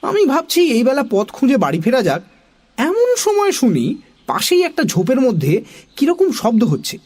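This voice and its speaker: An expressive, animated narrator telling a children's story in dramatic style. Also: high-pitched, normal speed.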